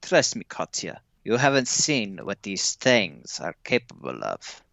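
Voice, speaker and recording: A man's voice: on the low side, wildly swooping pitch, measured pace, gravelly, a bit bright, no echo, dull audio, exaggerated voice. A man playing a cold, possessive Soviet scientist in a horror audio drama, stern and melodramatic in manner. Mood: stern, condescending.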